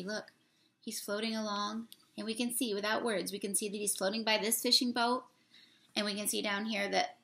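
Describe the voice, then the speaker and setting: Bright, creaky young woman reading to children. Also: mid-range voice. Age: young adult. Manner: expressive, drawn-out words, asks the listeners questions. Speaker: teacher reading a picture book aloud. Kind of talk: read-aloud storytelling for children, talking through pictures. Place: small room indoors.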